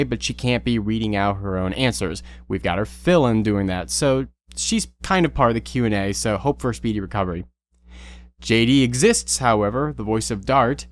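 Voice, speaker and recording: A man speaks as the host of an online Q&A, giving announcements in a casual but prepared way. His pitch is ordinary, and he can get a little halting. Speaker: host, show creator. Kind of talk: casual scripted Q&A announcements and banter.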